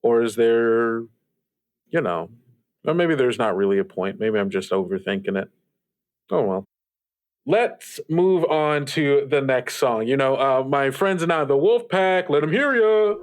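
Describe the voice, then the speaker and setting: A man playing a wistful, laid-back late-night radio host who muses haltingly to listeners in a fiction podcast. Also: mid-range voice, expressive, clean tone, close to an everyday voice. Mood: relaxed, chatty. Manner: halting, rambling, reflective, with filler words.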